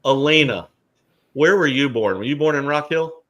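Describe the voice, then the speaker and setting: A man's voice: a little high, moderate pace, a bit bright. A middle-aged man from Alabama hosting a casual talk, chatty and joking, with many fillers. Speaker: host. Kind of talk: casual chat.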